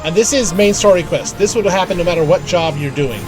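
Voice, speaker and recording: A man's voice: high voice, a little fast, low-quality recording. A man giving casual live commentary on a video game, musing to himself as he plays. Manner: musing, talks to self while playing. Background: game music.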